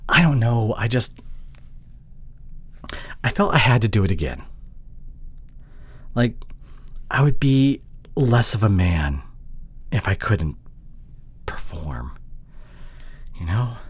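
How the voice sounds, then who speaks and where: A man playing an apologetic, insecure boyfriend in an intimate audio drama, speaking haltingly with sighs and breathy murmurs. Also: on the low side, full character voice. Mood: remorseful. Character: apologetic, pleading long-distance boyfriend, insecure young man. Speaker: audio roleplay voice actor.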